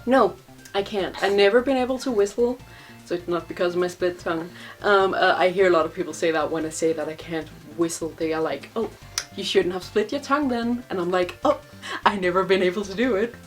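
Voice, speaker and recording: A woman's voice: lowish voice, fairly quick, faint music behind. Chatty young Danish woman. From Denmark. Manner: chatty, rambling, many fillers and laughs. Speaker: vlogger. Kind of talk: casual Q&A vlog.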